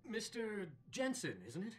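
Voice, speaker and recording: An actor playing a smooth, grave activist leader in a video game, formal and condescending. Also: male voice, high voice, sing-song, faint music behind. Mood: polite, grave. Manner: formal, measured rhetoric, condescending. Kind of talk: video game cutscene acting.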